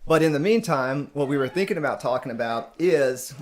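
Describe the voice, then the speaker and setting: A man's voice: on the high side, expressive, a little fast. A man from Texas hosting a live stream chat, talking casually with frequent "um" fillers. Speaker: host.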